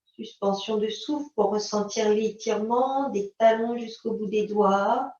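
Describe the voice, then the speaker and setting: Slow-paced Frenchwoman guiding yoga, roomy sound. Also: mid-range voice. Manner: calm, unhurried, instructing in short phrases. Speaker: yoga teacher. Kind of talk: guided yoga and relaxation instruction. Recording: roomy, distant mic, dull sound.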